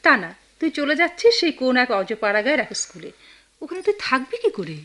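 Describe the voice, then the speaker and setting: A woman's voice: high voice, sing-song, quick pace, sharp tone, exaggerated voice. An actress playing a protective, motherly older-sister figure in a Bengali radio drama, chiding and fussing in a Bangladeshi accent. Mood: worried, chiding.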